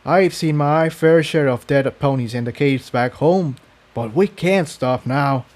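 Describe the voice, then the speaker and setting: A man's voice: fairly high pitch, unhurried, a little mellow, natural voice. A man voicing a brave, determined male pony who talks urgently and with authority to protect his friends. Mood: firm consoling.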